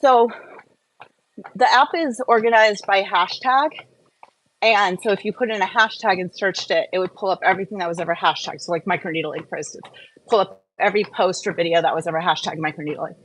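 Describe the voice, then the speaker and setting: A woman's voice: medium pitch, brisk, a bit bright. Chatty woman on a noisy live stream. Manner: informal, rambling, chatty. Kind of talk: casual live Q&A chat.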